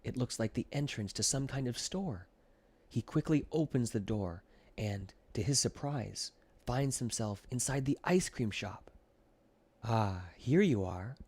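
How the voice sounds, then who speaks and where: A man's voice: medium pitch, a little slow, darkish tone, husky. A man narrating a scripted children's audiobook as its storyteller.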